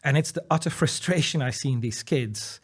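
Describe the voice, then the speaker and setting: A man's voice: mid-range voice, fairly quick, slightly rough. A Dutch-speaking man, a guest in a casual interview, who talks earnestly and encouragingly. He often restarts his sentences and repeats words as he thinks aloud, and drops in light laughs between his points. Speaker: interview guest, dyslexia educator.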